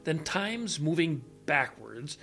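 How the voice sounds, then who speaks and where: A man's voice: on the high side, big pitch swings, slow pace, gravelly, pushed and bright, soft background music, exaggerated voice. An actor playing a blinded, absent-minded scientist major, explaining hesitantly as he thinks aloud in an audio drama. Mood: thoughtful explaining.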